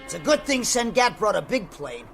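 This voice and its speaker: An actor playing a brash, hot-tempered adventurer sidekick with gravelly, slangy tough-guy talk in a radio drama. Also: sits high, sing-song, moderate pace, over loud music, exaggerated voice. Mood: grumbling.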